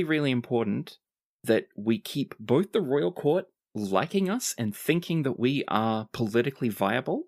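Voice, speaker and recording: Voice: mid-range voice, no room sound. Game master voicing a composed, dry noblewoman. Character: composed noblewoman briefing her allies, earnest and dry.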